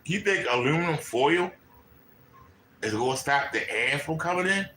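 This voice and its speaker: Bright-voiced man ranting in an echoey room. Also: a little high, animated, normal speed, slight background noise. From Maryland, USA. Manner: incredulous, mocking, repeats points. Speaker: commentary channel host. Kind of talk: ranting commentary on a clip. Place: home room.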